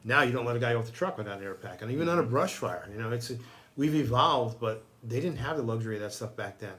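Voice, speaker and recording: A man's voice: medium pitch, lively intonation, fast talker, fairly bright tone. A middle-aged American man, a fire chief, talking casually and emphatically as an interview guest.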